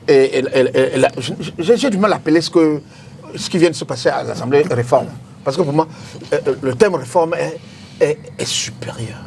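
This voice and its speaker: A man with a Cameroonian accent arguing as a journalist on a debate panel, halting and repeating his words. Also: fairly high pitch, creaky, darkish tone, a little hiss or hum. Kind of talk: spontaneous political debate.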